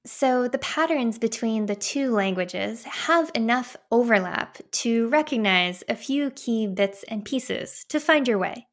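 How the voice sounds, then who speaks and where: A woman's voice: fairly high pitch, expressive, unhurried, few pauses. A woman speaking as an interviewer in a conversational educational discussion.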